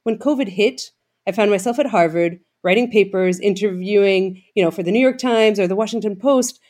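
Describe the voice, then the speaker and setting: A woman's voice: mid-range voice, fairly quick, a little mellow. A woman from Greece, a guest in an interview, speaking thoughtfully and earnestly with frequent fillers. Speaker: guest, public health director and former congressional candidate. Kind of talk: conversational interview.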